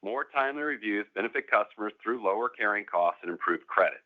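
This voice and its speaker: A man with a fairly low voice comes through a narrow, phone-like line. He reads scripted corporate remarks in a formal, measured manner, as an executive addressing investors on a conference call. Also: expressive. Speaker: company executive presenting.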